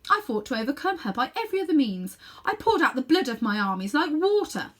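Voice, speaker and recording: A woman's voice: high voice, very animated, fast, pitched above the performer's own voice. A woman reading a children's storybook, voicing a proud, ruthless sorceress queen with haughty, emphatic, declamatory delivery. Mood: indignant, self-justifying.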